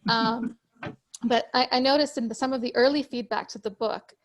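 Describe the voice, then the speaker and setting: A woman whose first language is Urdu, a guest author explaining her ideas in a measured, conversational way over a video call. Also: on the high side, fairly even intonation, a little hiss or hum. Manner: measured, explanatory, occasional restarts.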